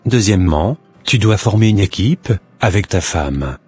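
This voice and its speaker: A wise, moralizing neighbor who lectures earnestly on marriage. His voice is very deep and husky, with soft music in the background. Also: expressive, a little slow. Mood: counseling. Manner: earnest, preachy, counseling.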